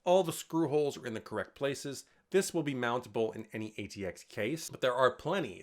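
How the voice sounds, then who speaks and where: A man of middle pitch hosts a casual tech how-to, cracking jokes and dropping the odd swear word. He jumps up in pitch and volume when he announces each new tip. It sounds like an indoor room, picked up by a camera mic while he shows parts to the lens. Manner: jokey, swears casually, lively bursts on tip headings. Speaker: tech video host. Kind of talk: casual instructional talk.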